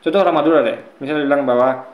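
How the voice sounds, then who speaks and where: A man with a fairly high voice lectures his viewers in an argumentative commentary, often calling them guys. His voice rings in an echoey room. He explains his points at length, like a teacher. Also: very fast, lo-fi sound. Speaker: commentator responding to a video.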